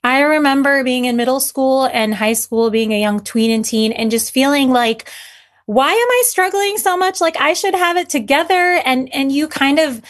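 Youngish woman writer from syria, kinda high voice, chatty, says "you know" a ton. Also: normal speed. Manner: earnest, filler-heavy, many "you know"s. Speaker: author guest. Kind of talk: conversational interview answers.

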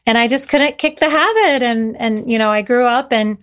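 A woman's voice: on the high side, normal speed, a bit bright. A woman who came to America from Syria as a child, talking earnestly about her writing as an interview guest, with frequent fillers and restarts. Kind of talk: casual interview talk.

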